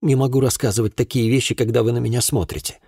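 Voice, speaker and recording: A man voicing a distressed young housemaid in an audiobook, speaking in a halting, plaintive, anxious way. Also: medium pitch, steady tone, mellow, husky, no room sound, clean studio sound, voice pushed up. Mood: embarrassed, pleading. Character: distressed young housemaid woman recounting an assault. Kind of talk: acted audiobook dialogue.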